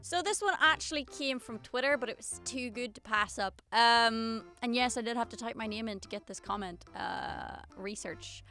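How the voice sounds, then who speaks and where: A woman's voice: a little high, normal speed, hardly any pauses, bright, forceful tone, soft background music. A woman in her twenties casually reading out comments and answering them in a sarcastic, self-mocking way. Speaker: singer and YouTuber.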